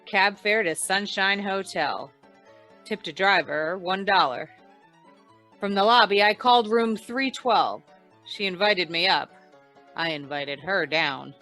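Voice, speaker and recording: A woman playing a deadpan, hard-boiled female investigator in an old-time radio crime noir, with dry, world-weary delivery. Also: mid-range voice, slow pace, faint music behind. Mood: wry, deadpan. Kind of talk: 1940s radio noir narration and dialogue.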